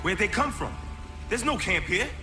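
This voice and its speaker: An actor playing an agitated soldier in a dramatic video game scene. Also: male voice, sits high, very animated, moderate pace, music in the background. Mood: shocked disbelief.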